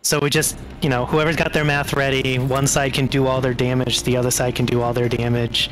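A man's voice: medium pitch, little pitch movement, brisk, poor audio. A man who plays in an online tabletop game, talking casually and explaining things informally over a call.

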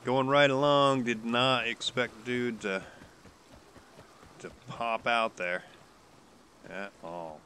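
A man's voice: medium pitch, steady tone, slow, pauses often, bright, forceful tone, slight background noise. A man with a stuffy nose from a cold, casually commenting while playing a game, rambling and hesitant with many restarts. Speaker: gaming YouTuber.

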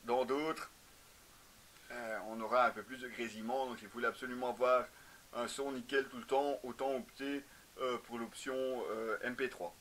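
A man's voice: medium pitch, flat delivery, moderate pace, fairly bright tone, slight background noise. A man in his thirties giving a casual product review to camera in a garage, explaining with frequent hesitations. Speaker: motorcycle gear reviewer. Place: indoor garage with shelves.

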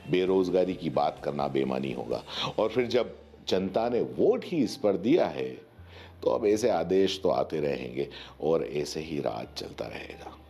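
A man's voice: sits low, dark tone. A man in his forties from India giving opinionated news commentary in an emphatic manner, often posing rhetorical questions. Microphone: lapel mic.

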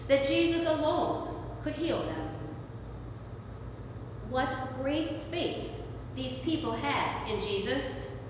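Slow-preaching woman pastor in echoey church. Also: high-pitched, noisy background. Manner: slow, reflective preaching with deliberate pauses. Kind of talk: sermon. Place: church sanctuary, standing at a lectern beside the altar. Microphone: far camera, room sound.

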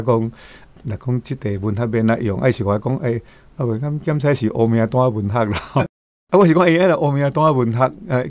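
A man's voice: medium pitch, a little mellow, dry recording. An older man with a Taiwanese accent giving informal commentary as a guest, conversational and often ending phrases on tag particles.

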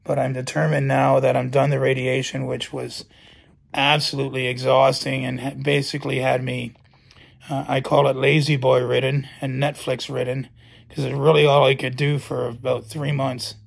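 A middle-aged man with a hoarse, gravelly voice gives a calm, matter-of-fact personal update into a phone camera in his bedroom. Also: mid-range voice, moderate pace. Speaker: vlogger. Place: bedroom at night, indoors. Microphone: phone camera held close.

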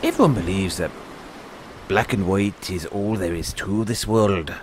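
A man playing a free-spirited, kindly dragon rider and mentor in an audio roleplay, musing and unhurried with pauses. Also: low-pitched, slight background noise. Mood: reflective.

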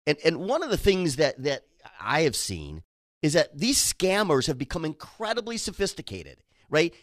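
A man's voice: on the high side, sing-song, moderate pace, dry recording, studio quality. A man co-hosting a retirement finance radio show, talking conversationally with many "you know"s and "right?"s. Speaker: co-host, financial instructor.

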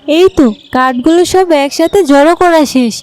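A woman's voice: high voice, moderate pace, darkish tone, lightly acted. A woman voicing a dutiful, reassuring young village daughter in a cartoon fairy tale. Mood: busy.

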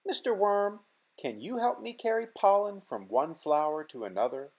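A woman's voice: medium pitch, very animated, moderate pace, voice pushed up. A woman voicing an eager young boy in a children's story. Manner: eager and bright.

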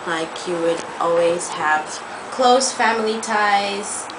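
Young woman, calm, noisy recording. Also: mid-range voice, slow. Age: young adult. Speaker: interviewee. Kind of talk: casual interview answers. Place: indoor living room. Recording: noisy amateur video.

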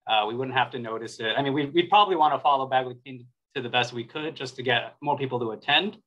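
Bright-voiced casual man on dull call audio. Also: medium pitch. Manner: casual, loose, halting. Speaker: board member. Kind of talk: informal remarks in a meeting. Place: indoors, on a video call. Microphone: video call.

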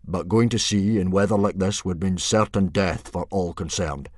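A man voicing a grim middle-aged Viking chief who makes solemn pronouncements in a booming voice. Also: fairly even intonation, normal speed, dry recording, studio quality. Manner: bellowing, grim and formal.

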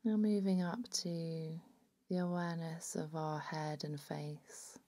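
A woman narrating a guided body scan meditation in a soothing, unhurried, hushed manner. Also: low-pitched, level tone.

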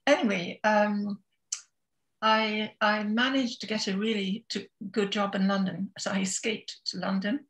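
A woman's voice: mid-range voice, steady tone, a little slow, a bit bright. A woman in her seventies from Scotland, telling her story as an interview guest in a thoughtful, hesitant, conversational way. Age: 70s. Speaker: interview guest, author and therapist.

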